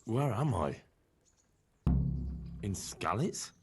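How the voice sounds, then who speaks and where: A man's voice: on the low side, big pitch swings, extremely slow, over music, exaggerated voice. A man acting an earnest, battered young blacksmith's son in a medieval video game drama, sounding weak and weary. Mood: dazed, weak.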